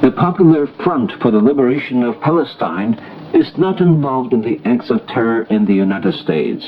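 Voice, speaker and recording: A dull, lo-fi shortwave broadcast with faint noise carries a man's voice. He reads an international news bulletin as a radio newsreader. His delivery is formal, scripted and measured. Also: fairly low pitch. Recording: noisy, muffled shortwave radio broadcast recording.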